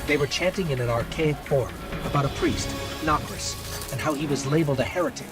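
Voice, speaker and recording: A small, watchful robot guide in a video game. His voice sits fairly high and moves with lively, earnest intonation. Loud cinematic music plays behind him. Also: a little fast.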